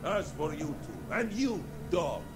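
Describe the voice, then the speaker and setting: An actor playing a stern, imperious Inca ruler in a radio drama, solemn, commanding and declamatory. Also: male voice, sits high, sing-song, a little slow, loud music behind the voice. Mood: menacing.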